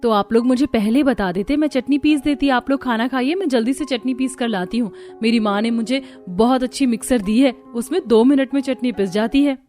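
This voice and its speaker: Woman acting a young newlywed, fairly high, polite, expressive, cartoon drama, quiet music behind. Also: fairly quick, hardly any pauses, close to an everyday voice. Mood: polite, eager. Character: young newlywed daughter-in-law, fussy about heat. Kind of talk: Hindi cartoon story voice acting.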